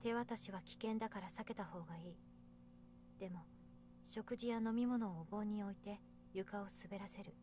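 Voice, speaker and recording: A woman's voice: mid-range voice, fairly even intonation, fast, dark tone, a little hiss or hum, natural voice. A woman voice-acting a serious, practical young woman reasoning things out in a Japanese visual novel. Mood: calm, serious.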